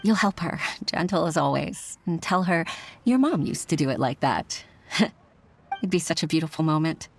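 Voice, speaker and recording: A woman's voice: deep voice, moderate pace, slight background noise. A woman playing an earnest, humble secretary and mother, speaking politely and heartfelt in an anime video game. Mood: reflective, hopeful.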